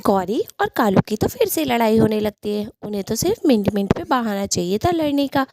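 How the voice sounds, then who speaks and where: A female storyteller with a mellow, softly rounded voice at a middle pitch. She narrates a scripted cartoon tale, recorded dry and close. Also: big pitch swings, fairly quick, no echo.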